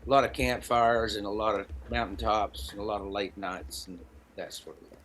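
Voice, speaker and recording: A man's voice: on the low side, steady tone, moderate pace, fairly bright tone, a little hiss or hum. A man in his seventies with a Southern Appalachian American accent, telling personal anecdotes in a folksy, wry, conversational way. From Appalachian Tennessee, United States. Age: 70s. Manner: folksy, wry, dry humor. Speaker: podcast guest, storyteller and songwriter.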